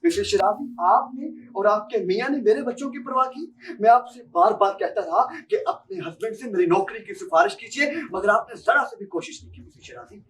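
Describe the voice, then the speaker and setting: A man's voice: very high-pitched, lively intonation, a little fast, a bit bright, a little hiss or hum, exaggerated voice. A young man student acting a bitter, insistent blackmailer who threatens, in an amateur stage drama. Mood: aggrieved. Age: young adult. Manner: insistent, threatening, repeats himself. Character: menacing, bitter blackmailer demanding his job back. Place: university seminar hall stage. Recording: distant microphone on stage.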